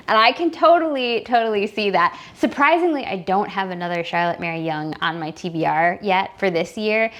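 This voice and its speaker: A woman talking casually and enthusiastically to viewers as a book vlog host, gushing and wandering into asides as she thinks aloud. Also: mid-range voice. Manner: enthusiastic, gushing about books. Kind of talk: casual chatty reading vlog.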